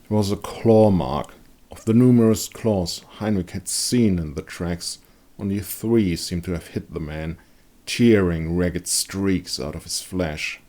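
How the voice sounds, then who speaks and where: Male narrator, very low pitch, dramatic storytelling, dark fantasy audiobook. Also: a little slow.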